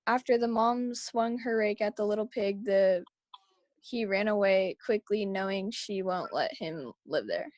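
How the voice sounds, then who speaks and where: A woman's voice: medium pitch, measured pace, darkish tone, dry recording. A woman student reading scripted action descriptions aloud at an online table read.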